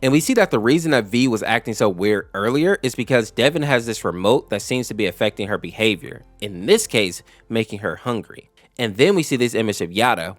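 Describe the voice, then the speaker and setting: Guy doing a lore explainer, lowish voice, chatty scripted recap, close dry mic. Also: a bit bright, no room sound. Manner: explanatory, addresses viewers directly. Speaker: YouTube lore explainer host. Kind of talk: conversational scripted narration, recapping a story.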